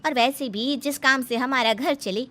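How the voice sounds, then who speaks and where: A woman's voice: high voice, moderate pace, pitched above the performer's own voice, lightly acted. A woman voicing a plucky young village daughter-in-law in a cartoon story, earnest and rustic in manner. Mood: determined, reassuring. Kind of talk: Hindi cartoon story acting.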